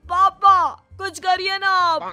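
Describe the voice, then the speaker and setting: An aggrieved young husband in a cartoon moral story, voiced by a woman. He whines and protests, easily cowed beneath his greed. The voice is high-pitched, with music underneath. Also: flat delivery, slow pace, pushed and bright, full character voice. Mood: protesting. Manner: complaining, protesting.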